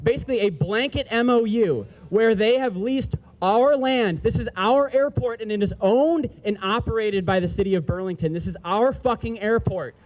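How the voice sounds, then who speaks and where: A man's voice: high voice, moderate pace, few pauses, darkish tone, poor audio. A man giving an impassioned speech at an outdoor rally as an activist organizer, angry and emphatic, repeating slogans.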